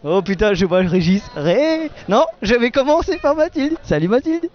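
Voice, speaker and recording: A man's voice: very high-pitched, sing-song, normal speed, dull audio. A man roaming an outdoor wine festival, playfully teasing people as he interviews them. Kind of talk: casual street interviews.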